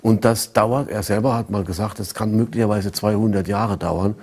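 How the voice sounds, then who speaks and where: Husky lowish guy explaining in an interview, casual. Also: steady tone, normal speed, a little mellow. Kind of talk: interview answer.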